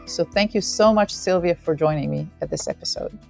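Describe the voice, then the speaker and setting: A woman hosting an interview, with a low, mellow voice. She introduces her guest warmly and asks direct questions. Faint music plays behind her. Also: brisk, vocal fry. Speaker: podcast host, interviewer.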